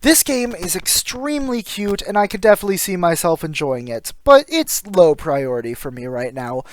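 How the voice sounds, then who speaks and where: High-pitched man narrating game reviews. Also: very animated, normal speed, no echo. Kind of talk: scripted voice-over commentary.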